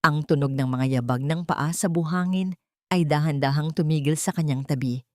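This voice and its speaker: A woman reads a scripted dramatic story as an audiobook narrator, in an earnest, sentimental and measured way. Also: low-pitched, no room sound, clean studio sound.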